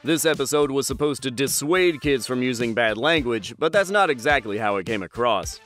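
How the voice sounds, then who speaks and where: A man narrating a scripted countdown at a middling pitch, dropping wry asides between entries. Faint music sits under his voice. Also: lively intonation, moderate pace, a bit bright.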